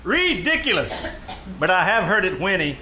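An actor playing a wry, skeptical tavern waiter and sidekick with sardonic, quippy delivery in old-time radio comedy. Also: male voice, sits high, sing-song, moderate pace, bright, forceful tone, exaggerated voice. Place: radio theater stage. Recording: live stage show, faint noise.

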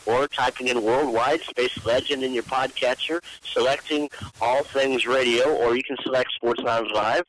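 A man's voice: mid-range voice, a bit bright, dry recording, rough recording. A man hosting a casual radio talk show and giving podcast promos.

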